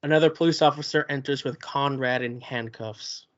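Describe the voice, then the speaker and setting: A man reads the stage directions aloud at a table read, in a plain and steady voice. The recording is muffled and lo-fi. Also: mid-range voice, normal speed. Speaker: narrator reading stage directions.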